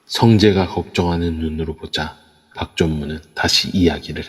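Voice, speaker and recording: A man's voice: rumbling low, fairly even intonation, moderate pace, husky, in a large hall. A man narrating an audio drama steadily from a script.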